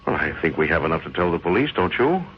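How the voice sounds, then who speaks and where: An actor playing a steady, dependable friend in an old-time radio crime drama, measured, placating and a little evasive. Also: male voice, sits low. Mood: explaining. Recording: muffled, hissy old radio recording.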